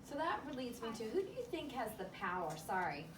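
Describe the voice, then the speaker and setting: A woman's voice: fairly high pitch, big pitch swings, fairly quick. A woman teaching elementary school, leading a class discussion with prompting questions and short, directive instructions. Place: indoor classroom with children. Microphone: distant room microphone. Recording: echoey, noisy classroom, distant microphone, children chattering.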